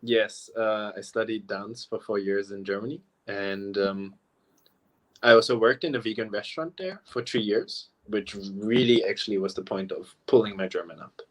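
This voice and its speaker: A man's voice: lowish voice, normal speed, fairly bright tone. A young man from Taiwan, a professional dancer, chatting easily as a guest on a live video call and leaning on casual fillers. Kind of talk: casual interview chat. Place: remote live chat.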